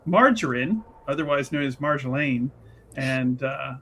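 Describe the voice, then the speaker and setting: A man casually recapping a tabletop game as a player, halting and wryly understated. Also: mid-range voice, animated, fairly bright tone, slight background noise. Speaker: player on an actual play show. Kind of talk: casual recap and character introduction.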